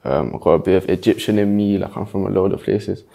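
Laid-back young London man, low mellow voice. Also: fast talker, vocal fry. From South East London. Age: young adult. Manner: laid-back, hesitant, many fillers. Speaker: interview guest. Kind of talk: casual interview answers. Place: dim studio with dark backdrop.

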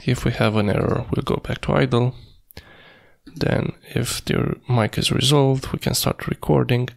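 A man with a heavily creaky, gravelly voice and a middling pitch walks through a screencast tutorial. He thinks aloud as he works, speaking in halting pieces and sometimes half murmuring to himself. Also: fairly even intonation. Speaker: coding tutorial presenter. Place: indoors at a computer, screen recording.

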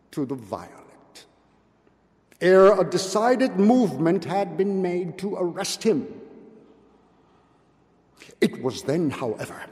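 Older male literary reader, theatrical and measured, very unhurried pace, long hall reverb. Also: high voice, a little mellow. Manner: theatrical, solemn, deliberate. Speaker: reader at a public event. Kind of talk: dramatic literary reading. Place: indoor hall, at a podium. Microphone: gooseneck podium microphone.

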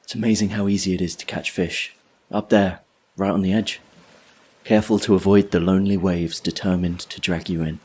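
Wistful male loner narrating an audio play, reflective and wry, slightly deep husky voice. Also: little pitch movement, brisk, a little mellow. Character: wistful, solitary male drifter telling his story. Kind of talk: dramatic monologue in an audio play.

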